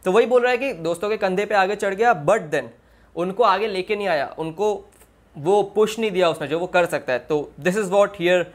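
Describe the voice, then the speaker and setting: A man's voice: high voice, normal speed. A man giving casual reaction commentary and explanations, mixing slangy Hindi with English phrases. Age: 20s. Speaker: music reaction video host. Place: small indoor room.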